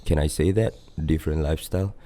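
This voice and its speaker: A man's voice: extremely low pitch, quick pace, warm tone. A man in his thirties with a Singaporean accent, talking in a rambling, laid-back solo podcast monologue full of fillers and swearing. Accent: Singaporean English. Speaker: podcast host and band vocalist.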